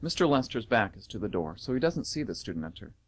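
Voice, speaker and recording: Narrator, male, mid-pitched, lively intonation, scripted stage directions for radio drama. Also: quick pace.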